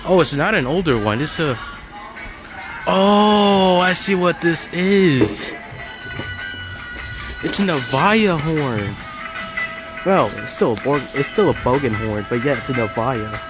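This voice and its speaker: A man's voice: a little high, expressive, slow pace, long pauses. Man vlogging casually over store music. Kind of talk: casual walk-through video commentary. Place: inside a large hardware store. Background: store music playing.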